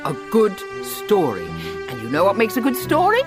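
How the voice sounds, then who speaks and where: An actress playing a chatty, expressive woman telling a story in an audio drama. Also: medium pitch, normal speed. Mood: coaxing. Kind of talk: full-cast audio drama. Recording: music under the voice.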